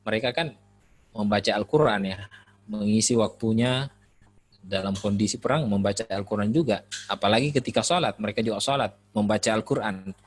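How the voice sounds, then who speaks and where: A man giving an Islamic studies lecture in a relaxed, conversational way, often pausing with "eh" while he thinks. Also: lowish voice. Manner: relaxed, conversational, frequent "eh" pauses. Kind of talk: classroom lecture.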